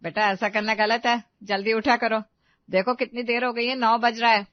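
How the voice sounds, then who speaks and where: महिला की आवाज़ में सख्त, डाँटती हुई सास. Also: female voice, mid-range voice, lively intonation, moderate pace, dry recording, natural voice. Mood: stern. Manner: bossy, lecturing. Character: stern, scolding middle-aged Indian mother-in-law. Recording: slightly dull, dry recording.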